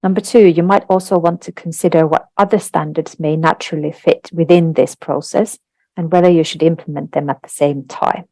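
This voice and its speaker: Low-voiced Finnish woman presenting a webinar. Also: steady tone, fairly quick, mellow, dry recording. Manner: explanatory, with frequent fillers and tag questions. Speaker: webinar presenter on translation standards.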